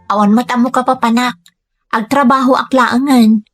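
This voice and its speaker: A woman's voice: a little high, fairly even intonation, moderate pace, soft background music. A Filipino woman playing a hardworking, self-sacrificing daughter who works abroad as a maid in an Ilocano radio drama. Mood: earnest.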